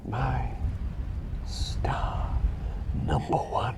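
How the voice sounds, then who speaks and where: A man voicing a kindly, scatterbrained bird doctor in an ASMR roleplay, rambling soothingly between soft talk and breathy whispers. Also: mid-range voice, flat delivery, drawn-out words. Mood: sleepy, soothing. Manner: rambling, soothing, whimsical, often dropping to a whisper. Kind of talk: ASMR roleplay, personal attention.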